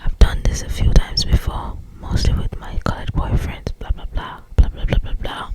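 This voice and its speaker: An ASMR creator reading a romance novel aloud in a breathy whisper, with lingering phrasing and occasional asides. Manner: slow, lingering phrasing with repeated words and asides.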